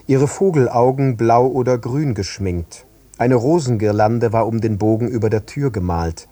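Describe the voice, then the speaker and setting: Deep-toned, mellow male literary reader. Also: no echo. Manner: measured, deliberate phrasing. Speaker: reader in a radio literary production. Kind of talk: literary recitation.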